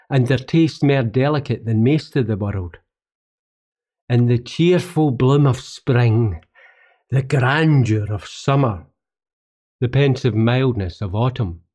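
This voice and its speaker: A man's voice: mid-range voice, warm tone. A man with a Scottish accent playing a humble, reflective poet in a radio drama, speaking in an earnest, literary way. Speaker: actor in a radio play. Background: plucked guitar music.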